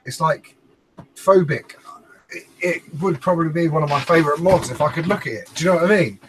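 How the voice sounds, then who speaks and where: A man's voice: on the high side, brisk, background noise. A man chatting informally and bluntly as a panellist on a talk show. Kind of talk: casual chat.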